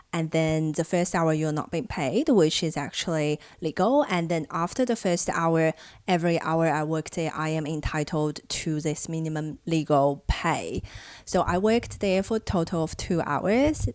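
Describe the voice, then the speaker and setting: A young woman from China, whose first language is Chinese, telling her own story in casual vlog fashion and turning animated when she acts out past conversations. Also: on the low side, dry recording. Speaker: vlogger.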